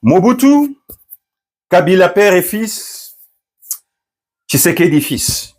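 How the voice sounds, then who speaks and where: A middle-aged man from Congo, a pastor, recounting a personal story and commenting, often pausing with fillers and restarting his phrases. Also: high-pitched, very animated, a little mellow. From Democratic Republic of the Congo. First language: Swahili. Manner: hesitant, many fillers and restarts.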